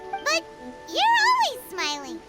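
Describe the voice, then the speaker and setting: A woman voicing a tiny, childlike fairy companion in a video game, excitable and fretful, with a squeaky, chatty delivery. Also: takes it slowly, exaggerated voice. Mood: flustered. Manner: chatty, exclamatory, refers to herself in third person. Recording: game music behind the voice. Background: music.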